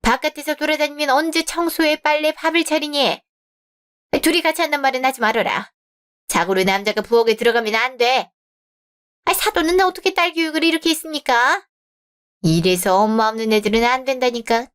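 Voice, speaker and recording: A haughty middle-aged mother-in-law who scolds and talks down to everyone, read by a woman in a radio drama. She speaks in a fairly high voice with a condescending edge. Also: a little fast, fairly bright tone, clean tone. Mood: scolding.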